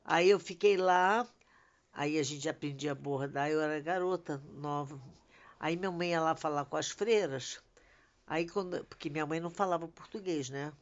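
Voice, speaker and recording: A woman's voice: low voice, normal speed, highs cut off. An older woman, a grandmother, telling family memories in a rambling, casual conversation. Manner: rambling storytelling.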